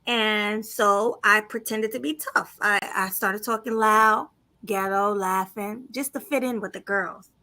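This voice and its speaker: A soft-spoken woman hosting a casual podcast chat, candid and lively as she tells a story. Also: medium pitch, a little slow. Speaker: podcast host.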